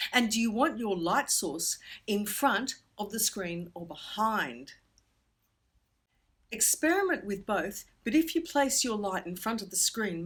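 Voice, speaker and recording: Expressive woman teaching, lively swooping pitch. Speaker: puppetry workshop instructor. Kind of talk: instructional presentation for children.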